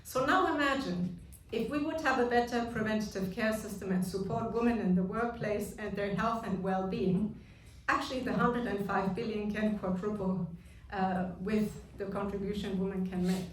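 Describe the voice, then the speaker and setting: A woman in her thirties from Germany with a German accent gives an earnest awards-evening speech, pausing often on fillers. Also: medium pitch, slight background noise. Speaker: award speaker. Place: large echoey function room. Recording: echoey room, distant mic, music behind.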